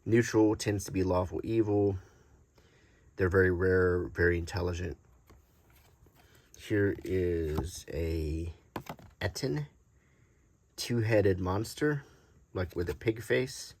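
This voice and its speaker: A man presenting collectible cards in a casual, unscripted show-and-tell, halting and pausing often as he describes things. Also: low voice, darkish tone. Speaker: host presenting vintage collectible game cards.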